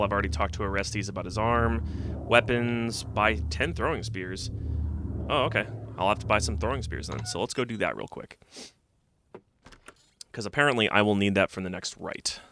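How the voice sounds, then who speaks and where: Stuffy, gravelly-voiced man streaming a game. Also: lowish voice, noisy background. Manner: rambling, thinking aloud with many fillers. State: slightly stuffy from a cold. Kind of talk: casual let's-play commentary. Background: game music.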